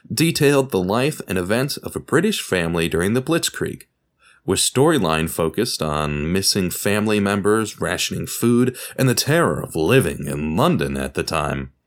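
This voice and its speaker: A man narrating a scripted documentary podcast, telling it like a story with deliberate dramatic emphasis. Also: lowish voice.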